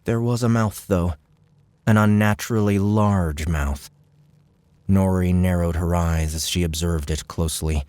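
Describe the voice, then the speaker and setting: A male audiobook narrator with a deep, low-pitched voice. He delivers scripted fiction as dramatic narration. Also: fairly even intonation, unhurried, darkish tone, clear, resonant tone, no echo.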